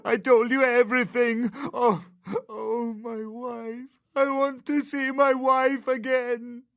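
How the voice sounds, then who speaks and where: A man's voice: extremely high pitch, little pitch movement, slow, fairly bright tone, clear, resonant tone, no echo, clean studio sound, full character voice. A man playing a nervous, scholarly archaeologist held captive in a video game, given to learned, rambling explanation. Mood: terrified, pleading. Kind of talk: video game character dialogue.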